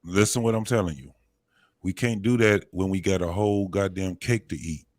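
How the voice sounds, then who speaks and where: Insistent man with a lowish voice. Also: moderate pace, darkish tone, dry recording, studio quality. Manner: insistent, emphatic, repeats himself. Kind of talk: casual, heated podcast discussion.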